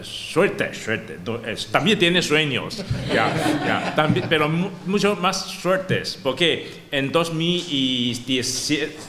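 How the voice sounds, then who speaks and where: A man from Taiwan giving a conference talk in halting non-native Spanish, with many broken-off words and restarts. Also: medium pitch, fairly bright tone. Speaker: panel speaker, researcher. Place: conference hall. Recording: long hall reverb, faint room noise.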